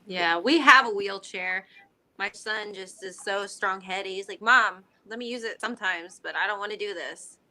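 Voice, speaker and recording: A woman co-host with a very bright, sharp voice. She chimes in with short remarks and quick asides. She sounds a little distant from the mic. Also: mid-range voice. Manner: brief interjections and quick asides.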